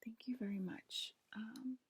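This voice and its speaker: A woman doing an ASMR roleplay in a breathy whisper and soft talk, reassuring the listener like a clinician and often checking in. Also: fairly high pitch, steady tone, unhurried, faint music behind. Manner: hesitant, reassuring, clinical patter with frequent checking "okay?".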